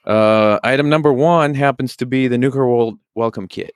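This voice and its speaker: A man chats informally as a panel guest, padding his talk with filler phrases. His low voice is resonant with a fair bit of fry, recorded close and dry. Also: moderate pace, dry recording. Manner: chatty, peppered with "you know". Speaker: podcast guest, prop maker. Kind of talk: casual chat.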